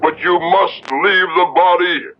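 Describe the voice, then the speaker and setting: An actor in an old radio drama playing a weary but commanding old rabbi exorcist, solemn in manner. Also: male voice, high voice, steady tone, a little hiss or hum. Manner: solemn, slow, imploring and commanding. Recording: dull vintage radio recording.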